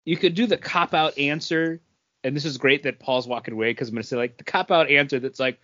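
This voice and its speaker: A man with a fairly high voice chats casually as a podcast co-host. The recording is very dry and close, with a slightly dull lo-fi tone. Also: quick pace, fairly bright tone, no room sound.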